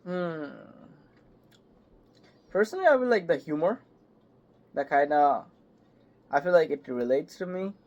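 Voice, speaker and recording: A young man, a voice actor, chatting casually in an interview, halting and filling with "like" and "yep". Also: fairly high pitch, expressive, darkish tone. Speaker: voice actor guest. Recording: slightly muffled call audio.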